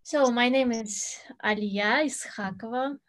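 A woman in her thirties from Russia, a native Tatar speaker, talking through an interview in English that is not her first language, thoughtful and quick to laugh, recorded from home on a webcam. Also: a little high. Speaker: pianist. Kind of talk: interview answers. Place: home room with a piano, indoors.

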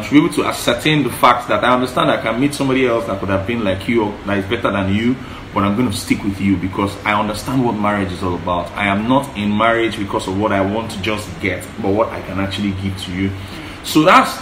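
A man's voice: on the low side, fast. Yoruba-accented man giving earnest advice. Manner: earnest, emphatic, repeats himself. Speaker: co-host. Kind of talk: casual relationship advice chat. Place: living room, indoors. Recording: echoey room, faint hiss.

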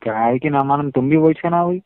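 Male caller, Hyderabadi accent. Rapid, repetitive protests, slightly high pitch, in an argument recorded off a phone. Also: smooth, no room sound. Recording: noisy, tinny phone call recording.

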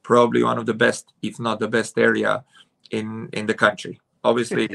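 A man's voice: on the low side, monotone, moderate pace, gravelly, fairly bright tone. A man in his forties from Serbia with a Serbian accent, a futsal coach speaking hesitantly with many fillers on a video call from home. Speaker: futsal head coach, guest. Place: room at home with curtains.

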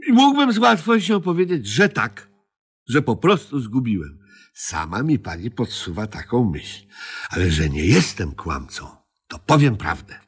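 A man's voice: mid-range voice, big pitch swings, a little slow, breathy edge, full character voice. An actor playing a sulky, self-justifying grown man in a radio comedy, grumbling, whining and repeating himself in indignation. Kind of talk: comedic radio play acting.

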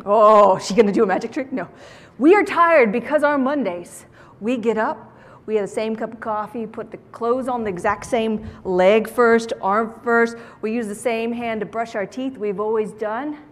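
A woman's voice: on the high side, normal speed. Woman giving a keynote in an echoey hall. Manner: animated, theatrical, playful emphasis. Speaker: keynote speaker. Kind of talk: motivational keynote talk to an audience. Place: large indoor conference room, on stage. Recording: echoey conference hall sound.

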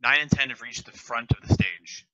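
A man's voice: mid-range voice, normal speed, pushed and bright, no room sound. A man reading stage directions plainly on a video call through a headset. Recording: dull lo-fi call audio.

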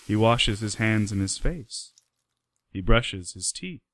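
A man's voice: lowish voice, expressive, slow, fairly bright tone, no echo. A man giving scripted narration for a radio play.

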